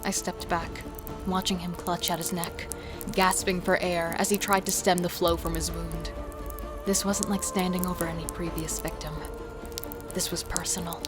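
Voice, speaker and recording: A woman's voice: fairly low pitch, little pitch movement, moderate pace, over music, lightly acted. A woman playing an intense, defiant serial killer devoted to her partner, acting and narrating in a horror audio drama. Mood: grim resolve.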